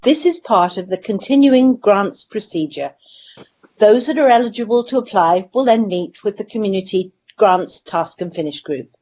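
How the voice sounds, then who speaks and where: Lady councillor answering in a council meeting, formal n measured, normal pitch. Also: animated, a little mellow, low-quality recording. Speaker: councillor, portfolio holder.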